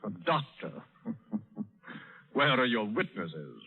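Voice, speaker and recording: Stern judge on tinny old radio. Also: male voice, lowish voice, big pitch swings, takes it slowly, slightly rough, full character voice. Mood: indignant. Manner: pompous, sneering. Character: stern, scornful English royal judge. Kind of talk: 1930s-40s radio drama acting.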